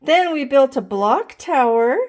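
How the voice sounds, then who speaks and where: A woman reading as a little kindergarten girl in a picture book, eager and proud. The pitch is a bit raised and lively, with simple, show-and-tell phrasing. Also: measured pace. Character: eager little kindergarten girls proudly reporting good deeds.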